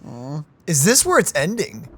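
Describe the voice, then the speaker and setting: A woman hosting a gaming channel, reacting to a game with excited, playful comments. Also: low voice, moderate pace, noisy background.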